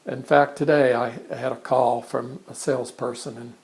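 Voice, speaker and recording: Gravelly older man chatting about himself. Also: medium pitch, little pitch movement. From born in England, raised in Kansas. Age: 60s. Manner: conversational, halting with frequent restarts and fillers. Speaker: artist introducing himself. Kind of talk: casual introductory monologue to camera. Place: his art studio in front of a blank canvas.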